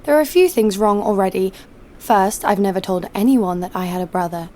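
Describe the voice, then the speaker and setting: A woman playing a jaded, sardonic killer who narrates her own story in a deadpan way for an audio drama. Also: mid-range voice, a little fast, close to an everyday voice. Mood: wry. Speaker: narrator and lead actor. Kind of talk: first-person scripted narration.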